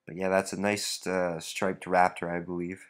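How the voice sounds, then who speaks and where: A man with a somewhat low voice talks through a stuffy nose. He rambles casually as he walks through his breeding rack, filling pauses with lots of "uh" and "um.". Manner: relaxed, rambling, many fillers. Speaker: reptile breeder. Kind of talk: casual walkthrough vlog.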